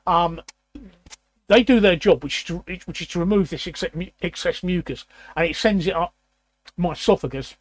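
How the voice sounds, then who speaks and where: A man in his sixties with a croaky, raspy voice, hosting a casual chat and often clearing his throat. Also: high-pitched, moderate pace, no echo. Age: 60s. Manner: repeats words, frequent throat clearing. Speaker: host.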